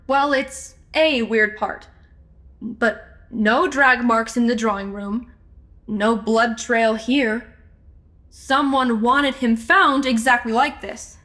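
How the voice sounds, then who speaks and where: A woman playing a brisk, level-headed, matter-of-fact amateur investigator in a comedy audio drama. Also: fairly high pitch, fairly even intonation, fairly bright tone. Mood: focused.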